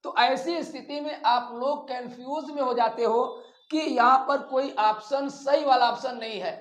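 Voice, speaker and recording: A man teaching a grammar lesson step by step, deliberate and instructive, as if dictating piece by piece. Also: very high-pitched, a bit bright. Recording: echoey, roomy sound.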